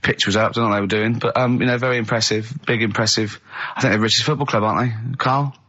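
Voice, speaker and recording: A man's voice: mid-range voice, steady tone, fast talker, bright, forceful tone. A man with a southern English accent hosting casual comic radio chat, teasing and stop-start in his delivery. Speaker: radio host. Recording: slightly muffled lo-fi radio recording.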